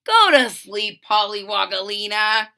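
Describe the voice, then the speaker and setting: A woman voicing an excitable young boy frog, an eager big brother with a dramatic, exclaiming way of talking, in a storybook read-aloud. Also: medium pitch, measured pace, gravelly, exaggerated voice. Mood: caring.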